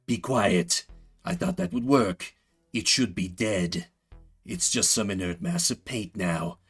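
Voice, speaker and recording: Guy doing aloof villain creature from a game, dry n curt, kinda fed up, slow, music under it. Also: lowish voice, very animated, vocal fry, husky, pitched below the performer's own voice, heavily acted. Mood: irritable, dismissive.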